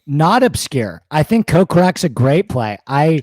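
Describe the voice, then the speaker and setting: A man with a slightly hoarse voice, eagerly talking stats and picks as a guest in a casual interview. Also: on the high side, big pitch swings, a little slow, a little mellow, dry recording, studio quality. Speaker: guest sports betting analyst.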